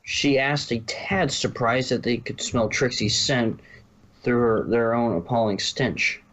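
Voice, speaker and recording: A man's voice: mid-range voice, a little slow, fairly bright tone, poor audio. A man narrating a fan fiction story as a reader.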